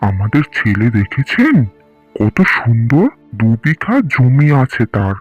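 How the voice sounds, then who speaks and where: A man's voice: mid-range voice, very animated, a little slow, faint music behind, voice pushed down. A man voicing a haughty, dismissive groom's father in a cartoon, brusque in manner. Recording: dull lo-fi cartoon audio.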